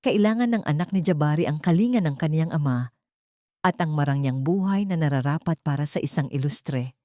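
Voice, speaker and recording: A woman's voice: sits low, lively intonation, a little fast, dark tone, no echo, clean studio sound. A woman voicing a scheming, smug young mistress with a condescending manner in an audio drama. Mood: smugly triumphant. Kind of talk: audio drama character dialogue.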